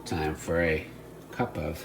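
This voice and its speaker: Low-voiced man narrating a hands-on demo. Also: fairly even intonation, slow, noisy background. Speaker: repair demonstrator and narrator. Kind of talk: casual hands-on demonstration narration.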